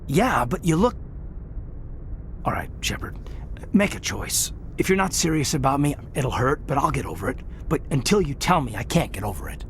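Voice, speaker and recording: A man's voice: mid-range voice, lively intonation, normal speed, slight background noise, natural voice. A man playing a sincere, hurt military lieutenant in a video game, speaking earnestly and hesitantly. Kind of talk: video game dialogue acting.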